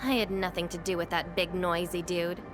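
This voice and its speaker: An actress voicing a calm, self-assured young woman who wields fire, speaking game dialogue with a teasing edge over game music. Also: fairly low pitch, normal speed, lightly acted.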